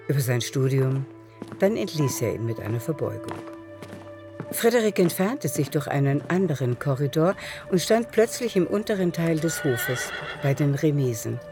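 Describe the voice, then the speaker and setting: A narrator tells a literary story in a radio play at a medium pitch. The scripted prose is carried along with music behind it.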